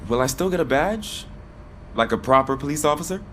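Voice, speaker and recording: A man's voice: fairly high pitch, animated, brisk, fairly bright tone. Earnest young male rookie, faint noise. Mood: eager. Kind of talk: scripted video game acting.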